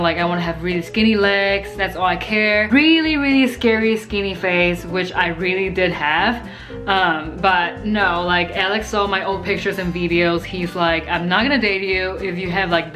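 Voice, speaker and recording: A woman's voice: on the low side, a bit bright. Chatty young woman vlogging. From Taiwan. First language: Mandarin Chinese. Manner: chatty, many fillers like "like". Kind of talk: casual chat to camera.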